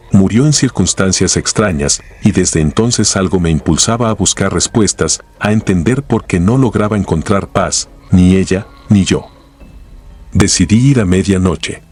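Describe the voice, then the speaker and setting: A man narrating horror stories in a grave, measured, scripted way. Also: low voice, a little mellow, husky.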